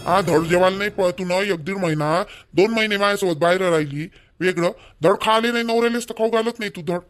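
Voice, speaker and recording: A man plays a scolding young village husband in a homemade cartoon. His voice sits high, and he nags bluntly and repetitively in a rural Vidarbha Marathi accent. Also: fairly quick, smooth, lightly acted. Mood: complaining, sarcastic. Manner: blunt, nagging, repetitive complaining. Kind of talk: amateur cartoon dialogue in rural dialect.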